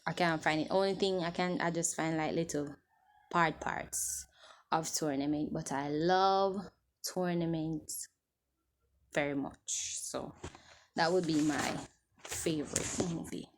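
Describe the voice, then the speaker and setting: A woman's voice: sits low, steady tone, takes it slowly, slight background noise. A woman in her twenties chatting casually to camera as she answers viewer questions, rambling and restarting as she loses her train of thought. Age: 20s.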